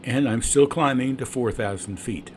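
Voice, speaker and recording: A man's voice: medium pitch, moderate pace, darkish tone. A man who is a private pilot, explaining his flight and making radio calls. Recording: cockpit and radio noise.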